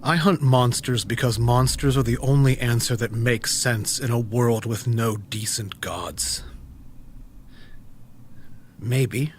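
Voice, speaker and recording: An actor as a brooding haunted monster hunter, noisy backdrop. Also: male voice, medium pitch, takes it slowly, natural voice. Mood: bitter, resigned. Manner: brooding, intense, dramatic delivery. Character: grieving disillusioned preacher turned monster hunter, haunted man. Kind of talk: audio drama acting, confessional monologue.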